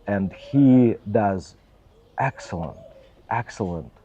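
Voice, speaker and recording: A middle-aged man with a Russian accent answers questions in earnest, careful English. His voice sits low and mellow. The sound is dull and lo-fi. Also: animated, takes it slowly, slight background noise. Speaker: church assistant and pastor. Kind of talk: interview answers.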